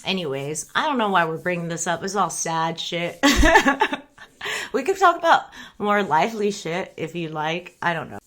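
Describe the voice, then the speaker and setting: A woman's voice: fairly low pitch, sing-song, normal speed, fairly bright tone. A young woman from the United States chatting casually on a livestream, rambling and candid, often breaking into laughter. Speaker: streamer. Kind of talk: casual chat.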